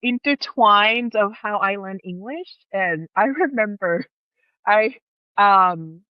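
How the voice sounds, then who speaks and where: A woman's voice: medium pitch, slow, clean tone, dry recording, muffled recording. A woman from Thailand with a Thai accent, telling personal stories casually as an interview guest in her second language.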